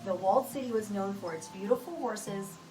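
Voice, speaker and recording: A woman reading a children's picture book aloud with expressive, deliberate storytelling delivery. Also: medium pitch. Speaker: storybook reader. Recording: roomy echo, distant mic, music behind.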